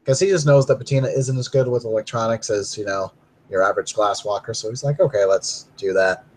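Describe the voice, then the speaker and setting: A man's voice: mid-range voice, fast talker, slightly rough. A man narrating as storyteller in a tabletop roleplay, casual with many fillers.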